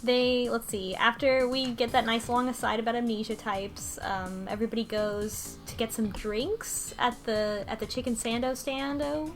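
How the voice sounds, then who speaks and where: A woman's voice: a little high, fairly even intonation, moderate pace, hardly any pauses, music in the background. A woman hosting a book club podcast, casually recapping and discussing a story in a conversational way.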